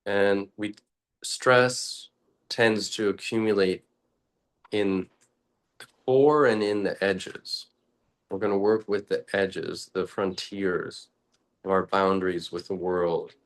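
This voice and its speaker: A man leading a guided meditation talk as a teacher, speaking deliberately in short, halting phrases with long pauses. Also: low voice, fairly even intonation.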